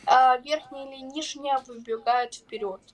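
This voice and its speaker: A young woman in her twenties with a high voice answers questions in a defensive, flustered way. She giggles often and talks back casually while being filmed. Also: normal speed, slight background noise. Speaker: interviewee. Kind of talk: on-camera street conversation.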